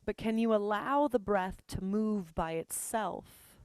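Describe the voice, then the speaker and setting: A woman leading a guided meditation as a teacher, speaking gently and unhurriedly with pauses between phrases. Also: medium pitch, smooth, dry recording. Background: music.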